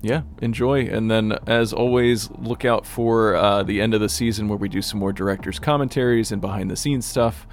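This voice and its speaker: A man's voice: lowish voice, steady tone, moderate pace, few pauses, a little hiss or hum. A man hosting a podcast as its producer, in a casual chatty style. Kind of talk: casual chat.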